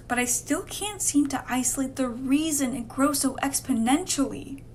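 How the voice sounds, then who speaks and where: An actress plays an anxious, careful woman scientist in a horror radio play. Her voice sits fairly high and she speaks earnestly, with caution. Faint noise runs behind her. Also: expressive, normal speed, a little mellow. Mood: focused, clinical. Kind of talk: amateur horror radio drama acting.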